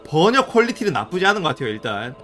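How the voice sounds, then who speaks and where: A man's voice: a little high, sing-song, rapid-fire, a bit bright, faint music behind. A young man casually commenting to viewers during a live game stream, musing aloud as he plays.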